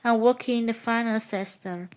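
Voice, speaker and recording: A woman's voice: fairly high pitch, fairly even intonation, normal speed. A woman from Thailand, a guest introducing herself plainly and politely.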